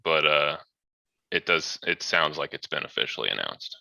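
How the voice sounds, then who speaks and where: A man's voice: very deep voice, animated, normal speed, vocal fry, pushed and bright, no room sound. A man co-hosting a casual chat, tossing out sardonic jokes among friends. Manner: sardonic, joking. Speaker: podcast co-host. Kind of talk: casual banter.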